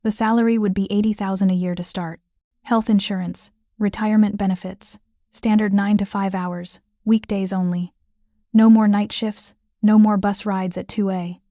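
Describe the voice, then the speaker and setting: A calm mafia boss with a commanding presence, low and unhurried, possessive under the composure, as a woman reads his lines. The delivery stays level with little rise and fall, in a mellow tone recorded close and dry. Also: dry recording, close to an everyday voice.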